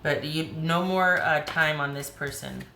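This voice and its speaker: A woman with a hoarse voice giving a chatty, rambling tarot reading, often restarting her sentences. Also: sits low, a little slow, sharp tone, echoey room, a little hiss or hum. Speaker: tarot reader.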